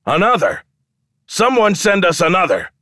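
A man voicing a brash, foul-mouthed male showman who loudly goads a crowd into wagers in an audiobook. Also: mid-range voice, lively intonation, moderate pace, no echo, clean studio sound, pitched above the performer's own voice, close to an everyday voice. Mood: rousing, fervent. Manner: loud, goading, declamatory calling to a crowd. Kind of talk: audiobook character dialogue.

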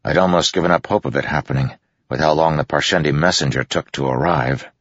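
A man voicing a cocky young nobleman in an audiobook, brisk and smirking. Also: a bass voice, dry recording, dull audio. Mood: teasing.

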